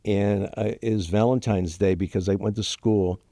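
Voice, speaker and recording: An older man, born in Germany to Ukrainian parents with Ukrainian as his first language, telling his family story in a halting, emotional way with many fillers and restarts. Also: lowish voice, steady tone, dark tone, dry recording, clean studio sound. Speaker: guest. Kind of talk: interview, personal story.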